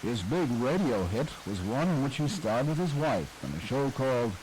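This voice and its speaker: Man, documentary narrator, measured delivery, lively pitch, faint hiss. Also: poor audio. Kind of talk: scripted radio history narration.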